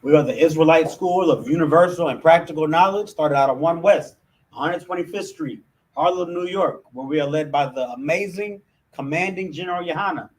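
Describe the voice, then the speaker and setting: A man's voice: fairly high pitch, brisk, recorded from a distance, rough recording. A man with an American accent teaching an informal religious language class to camera in an emphatic, conversational way. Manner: emphatic, with frequent "you know what I'm saying". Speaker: religious group officer teaching a Hebrew class.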